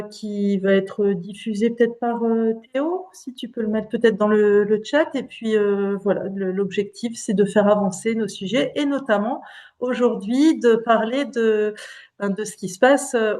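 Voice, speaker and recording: Relaxed woman hosting an online talk. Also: on the high side. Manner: relaxed, filler-heavy hosting. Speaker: host.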